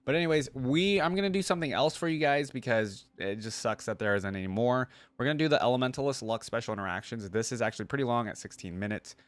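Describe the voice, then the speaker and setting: A man's voice: mid-range voice, fast talker, few pauses, no room sound. A man hosting a reaction video, thinking aloud in an informal, conversational way about game voice lines.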